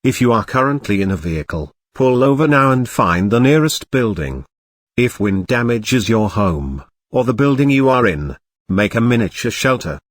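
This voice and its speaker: A man voicing a grave emergency alert announcer, reading formal, measured warnings in a scripted broadcast style. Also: mid-range voice, animated, no room sound, clean studio sound, close to an everyday voice. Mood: grave, instructing. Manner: formal, measured, urgent wording.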